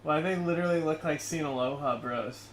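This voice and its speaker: A man's voice: on the high side, normal speed, slight background noise, low-quality recording. A man co-hosting a livestream, chatting casually and profanely. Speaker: livestream co-host.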